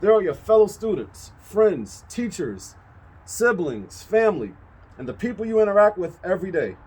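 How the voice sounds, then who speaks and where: Man reading as an upbeat, high-voiced woman bus driver. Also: sing-song, normal speed, a little mellow, husky, exaggerated voice, low-quality recording. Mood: encouraging, firm. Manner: emphatic, instructive. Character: upbeat, caring female school bus driver giving advice.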